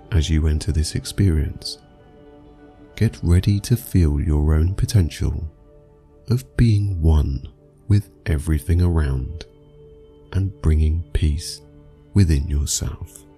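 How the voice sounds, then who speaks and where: A man narrating a scripted guided meditation for sleep, speaking soothingly and in measured phrases with long pauses. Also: a bass voice, animated, warm tone, faint music behind.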